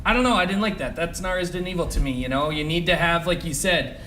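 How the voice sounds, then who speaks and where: A man's voice: fairly high pitch, fast, pushed and bright, a little hiss or hum. A young man co-hosting a casual podcast, agreeable, chatty and teasing his friends. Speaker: podcast co-host. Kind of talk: casual chat.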